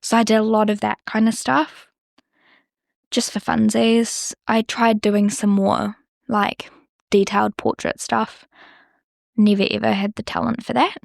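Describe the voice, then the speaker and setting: Chatty New Zealand woman with vocal fry. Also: on the high side, little pitch movement, a little slow, no room sound, clean studio sound. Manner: chatty, rambling, lots of "like". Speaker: podcast host. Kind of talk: casual solo podcast chat.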